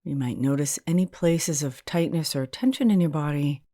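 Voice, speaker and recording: A woman leading a guided meditation as a hypnotherapist, in a soothing, gentle and unhurried manner. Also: sits low, no echo, clean studio sound.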